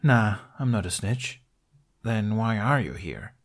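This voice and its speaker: A man's voice: lowish voice, lively intonation, slow, exaggerated voice. A man voicing a cheeky, skinny, laid-back boy who talks in a casual, teasing drawl, in an audio story.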